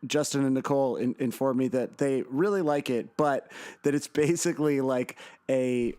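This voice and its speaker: A man's voice: medium pitch, normal speed, mellow, dry recording. A man co-hosting a podcast in casual chat, teasing and riffing dry jokes. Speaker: podcast co-host.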